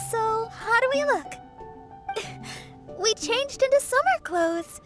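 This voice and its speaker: A cheerful, happy-go-lucky girl in her teens for a video game. The voice is very high, sweet and dreamy. Music plays clearly behind. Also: lively intonation, drawn-out words, exaggerated voice. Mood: giggly. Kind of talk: animated video game voice acting.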